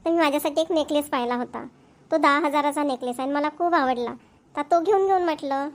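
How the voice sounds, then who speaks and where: A woman's voice: sits high, quick pace. A woman voicing an eager young wife in a cartoon, coaxing and pleading with her husband. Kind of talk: cartoon voice acting.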